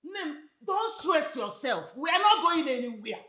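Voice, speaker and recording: Outspoken middle-aged mother, Nigerian accent, exclamatory, very lively pitch, film drama. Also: a little slow. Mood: outraged. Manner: expressive, exclamatory. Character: outspoken mother meddling in her child's marriage.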